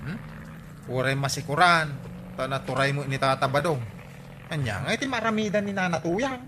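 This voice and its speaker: A man playing a nosy, excitable villager in an Ilocano comedy radio drama. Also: a little high, normal speed, noisy background, lightly acted. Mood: curious.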